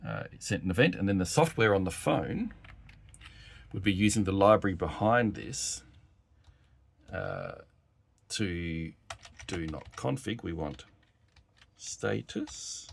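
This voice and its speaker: A man's voice: deep voice, very animated, takes it slowly, vocal fry, pauses often. A man giving a casual live technical demonstration as a developer, thinking aloud hesitantly while he works and types. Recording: noisy room with keyboard clicks. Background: typing and clicking at a workbench keyboard.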